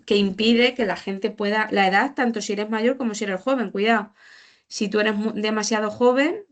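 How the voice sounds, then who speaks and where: A woman presenting report findings informally, explaining hesitantly and often rephrasing or repeating her points. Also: medium pitch. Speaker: social policy presenter.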